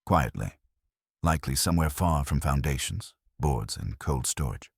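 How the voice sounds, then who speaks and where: Man acting stern male genius detective, very deep, husky, formal, terse, audiobook mystery. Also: animated, no room sound, studio quality, heavily acted. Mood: grave, dismissive. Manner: formal, measured, pronouncing.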